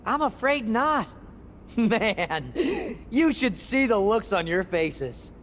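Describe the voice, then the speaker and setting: A smug, slippery TV producer, with a pitch that bounces around as he gloats and squirms. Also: big pitch swings, slow pace, fairly bright tone, a little hiss or hum, heavily acted. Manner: taunting, then pleading.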